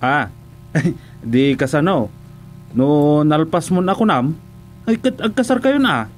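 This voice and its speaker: A man with an Ilocano accent acting a curious, excitable villager in a comedic radio drama, with exclamations and drawn-out interjections. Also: a little high, soft background music. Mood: incredulous.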